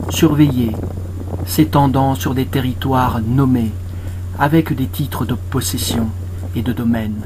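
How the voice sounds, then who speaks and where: A man's voice: medium pitch, noisy background. A man recites poetry solemnly as a film voice-over, declaiming in short, separated phrases.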